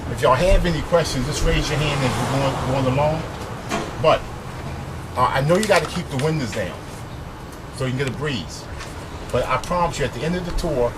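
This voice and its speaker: A man talks a group through a bus tour, folksy and emphatic, his soft voice set at an ordinary pitch. The recording comes from a small camera inside a moving school bus with the windows down, and loud traffic and engine noise runs under everything. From East Baltimore, USA. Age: 50s. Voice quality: soft voice, by his own account. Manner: folksy, repeats "okay?" and "y'all", emphatic and gesturing. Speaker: community activist and tour guide. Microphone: small handheld camera, with a little mic.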